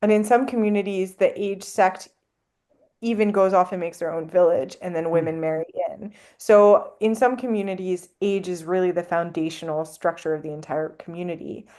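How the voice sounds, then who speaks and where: Chatty low-voiced woman, French native. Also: normal speed, dark tone. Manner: chatty, lots of likes and ums. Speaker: anthropologist guest. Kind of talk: casual podcast interview.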